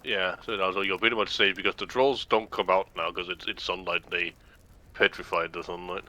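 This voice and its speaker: A man in casual chat over a video game, explaining things in a halting way and repeating words as he goes. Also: deep voice, steady tone, sharp tone. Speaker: gameplay co-commentator explaining folklore.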